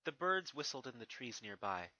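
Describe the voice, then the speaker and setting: A man's voice: medium pitch, big pitch swings, moderate pace, sharp tone, no echo, poor audio. A man reading a scripted story as its narrator. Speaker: fan fiction narrator.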